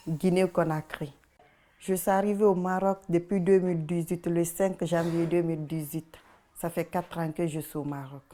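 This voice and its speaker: A woman, telling her story haltingly in short plain sentences for a documentary. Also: lowish voice, steady tone, mellow. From Guinea. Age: 20s. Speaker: interviewee. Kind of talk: documentary testimony.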